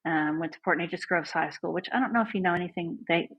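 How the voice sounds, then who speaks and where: A woman. Her voice is husky and a little breathy, and sits on the low side. She talks as a chatty, upbeat guest recounting her own life. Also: quick pace, lo-fi sound. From Southeast Texas. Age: 40s. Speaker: guest band director. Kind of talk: casual podcast interview.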